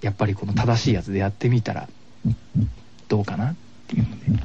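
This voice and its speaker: A man's voice: lowish voice, fairly even intonation, quick pace, dark tone, husky. A man explaining a game in casual, polite chat as a radio show guest, agreeable and a little hesitant in his phrasing. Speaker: guest guiding the host through a game. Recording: dull lo-fi radio recording.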